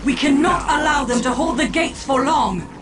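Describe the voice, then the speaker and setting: A woman's voice: high-pitched, lively intonation, normal speed. A woman playing a proud female alien seer in a video game, speaking formally and solemnly as a leader of her people. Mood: fierce, commanding. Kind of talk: dramatic video game acting. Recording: music bed underneath.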